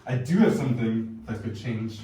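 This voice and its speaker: A young man in a student skit, talking with lively, exaggerated excitement. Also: mid-range voice, normal speed, slight background noise. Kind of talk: amateur class skit. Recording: echoey room, distant mic.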